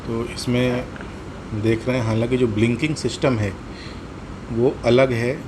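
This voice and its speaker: A man's voice: medium pitch, fairly even intonation, measured pace, noisy background. A man with an Indian accent explaining a hands-on product demonstration step by step, repeating his points. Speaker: host of a motorcycle gear tutorial.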